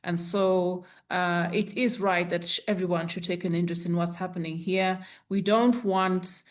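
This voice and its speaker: A woman's voice: fairly low pitch, fairly even intonation, normal speed. A woman from Zambia moderating and closing a press conference, composed and courteous.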